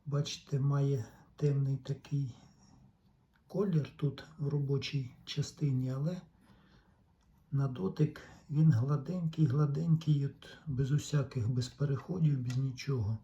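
A man giving a casual, hands-on technical explanation in a deliberate, halting way, pausing mid-sentence. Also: medium pitch, dark tone, highs cut off. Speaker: tape recorder repair vlogger.

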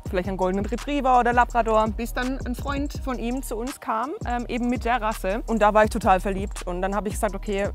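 A young woman in her twenties talks warmly and eagerly about her dog, outdoors on a country path. Her voice sits fairly high, with soft music playing faintly beneath. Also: quick pace, hardly any pauses. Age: 20s. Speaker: dog owner. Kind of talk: casual outdoor interview. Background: soft background music.

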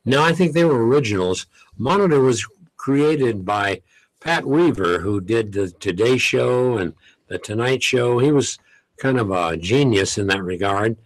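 An older man reminisces in a dry, close-miked radio studio. His speech is easy and anecdotal, in a middle register, dotted with soft chuckles. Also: mid-range voice, expressive, unhurried, no room sound, low-quality recording. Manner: chatty, anecdotal, chuckles often. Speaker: longtime radio show host, guest. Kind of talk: relaxed reminiscing studio talk. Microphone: studio mic, headphones.

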